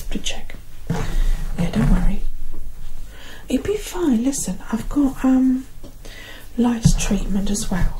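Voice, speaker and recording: A British woman doing an ASMR roleplay as a soothing, affectionate mother, reassuring in soft close-up speech. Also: medium pitch, background noise. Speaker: ASMR performer playing a mother. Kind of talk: ASMR roleplay, whispered and soft-spoken personal attention.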